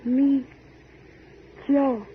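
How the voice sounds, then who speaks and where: An actress playing a simple, childlike island worker in a 1940s radio drama, speaking in halting, broken phrases. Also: female voice, sits high, steady tone, phone-quality sound. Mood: simple, gentle. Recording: thin, hissy 1940s radio recording.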